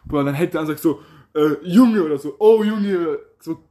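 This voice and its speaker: A man's voice: fairly high pitch, moderate pace, warm tone. A young man chatting with friends in loose slang and laughing a lot. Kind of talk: casual banter.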